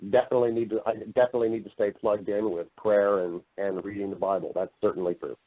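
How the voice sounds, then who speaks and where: A man with a fairly low voice chats as a guest on a talk show. He is affable and explanatory, and he sounds narrow and phone-like, as on an internet radio call. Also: level tone, fast talker. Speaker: podcast guest, voice-over artist and narrator. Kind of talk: casual interview chat. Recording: muffled band-limited internet radio call.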